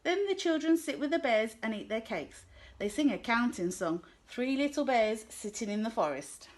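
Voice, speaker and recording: Gentle woman reading a picture book. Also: a little high, expressive, normal speed. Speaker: storybook reader.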